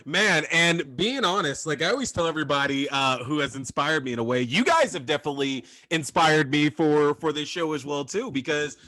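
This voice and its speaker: A man's voice: fairly high pitch, lively intonation, a little fast, hardly any pauses, bright, forceful tone, no room sound. A man in his thirties hosting a podcast in a loose, casual way, swearing freely and filling his talk with "like" and "man.". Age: 30s. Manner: loose and profane, lots of "like" and "man". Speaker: podcast host. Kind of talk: casual chat.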